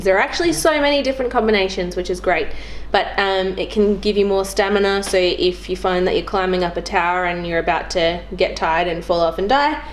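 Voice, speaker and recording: Young woman chatting casually, noisy recording. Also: mid-range voice. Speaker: guest. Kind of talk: casual chat about video games. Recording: clearly noisy background.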